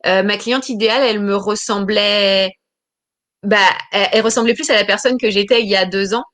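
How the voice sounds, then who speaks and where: A woman in her thirties, a business coach talking about her career in an interview, pausing often with fillers and restarting phrases. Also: medium pitch, steady tone, fairly bright tone. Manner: hesitant, many fillers and restarts. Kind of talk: interview answers.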